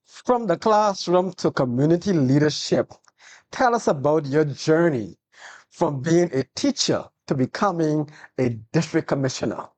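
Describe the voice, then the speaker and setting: A talk-show host, speaking at a medium pitch. The host sounds earnest, opening with a heartfelt prayer and warmly affirming the guest between questions. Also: expressive, measured pace.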